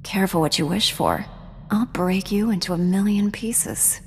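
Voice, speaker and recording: An actress playing a young Jedi knight heroine in a video game, speaking in a composed, measured, dryly teasing way. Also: female voice, mid-range voice, lightly acted. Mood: wry, teasing. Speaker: video game player character. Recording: long hall reverb, game music underneath.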